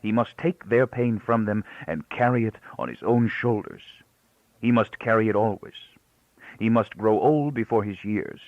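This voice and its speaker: Man acting out a solemn old rabbi, low and gravelly. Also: dry recording, narrow, tinny audio, natural voice. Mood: pained, earnest. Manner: quiet, solemn, halting speech with emphatic repetition.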